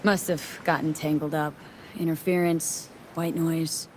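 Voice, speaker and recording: A bold young woman of action with a fairly low voice. Her delivery is wry, blunt and casual, like natural game dialogue. A clear watery rumble sits behind the speech. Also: little pitch movement, a little slow, a little mellow, poor audio. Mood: curious, wry. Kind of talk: naturalistic video game acting.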